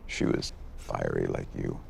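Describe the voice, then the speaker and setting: An actor playing a menacing, self-important villain who speaks in a deliberate, threatening, coldly boastful way. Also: male voice, rumbling low, completely flat delivery, gravelly, darkish tone, slight background noise, heavily acted.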